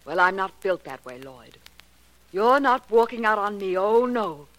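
A woman's voice: mid-range voice, expressive, a little slow, a bit bright, low-quality recording. A woman playing a proud, possessive young scientist spurned in love, biting and dramatic, in 1940s radio drama style. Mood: bitter, threatening. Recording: vintage 1940s radio recording.

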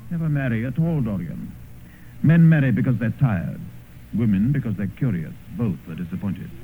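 An actor playing a worldly, cynical gentleman in an old radio drama, suave and amused, with drawling, epigrammatic delivery. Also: on the low side, expressive, normal speed, soft background music. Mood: suave cynicism. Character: worldly, cynical English gentleman, older mentor and corrupter. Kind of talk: 1950s radio drama acting and first-person narration. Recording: muffled, hissy old radio broadcast.

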